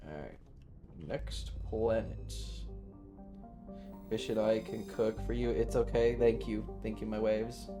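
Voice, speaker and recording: A man's voice: lowish voice, steady tone, slow, pauses often. A man livestreaming a game, chatting casually with viewers in a playful, teasing, sweary way. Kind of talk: casual chat with viewers during gameplay. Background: video game music.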